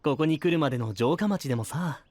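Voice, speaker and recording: A man's voice: fairly high pitch, animated, a little fast, natural voice. A man playing an artistic knight with theatrical, admiring, poetic phrasing in an anime-style audio drama. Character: artistic, flowery, smooth-talking male knight singer.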